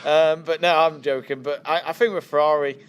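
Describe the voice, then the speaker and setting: A man's voice: fairly high pitch, steady tone, fairly quick, clean tone. A man, a podcast guest giving joking, rambling opinions in casual chat.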